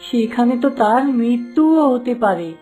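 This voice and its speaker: A woman playing an obedient, honest palace attendant in a fairy-tale cartoon, measured and respectful. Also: a little high, animated, warm tone, lightly acted, low-quality recording. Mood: concerned, submissive. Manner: deferential, measured. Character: obedient, honest royal attendant, cautiously questioning. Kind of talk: animated fairy-tale dialogue. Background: music.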